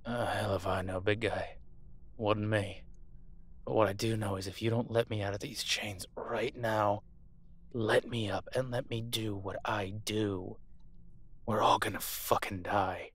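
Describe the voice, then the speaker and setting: A man's voice: on the low side, steady tone, unhurried, fairly bright tone, slightly rough, full character voice. A man playing a captive werewolf alpha soldier in an audio roleplay, defiant, protective and sardonic as he urgently argues and swears. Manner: profane, sardonic, urgent persuading.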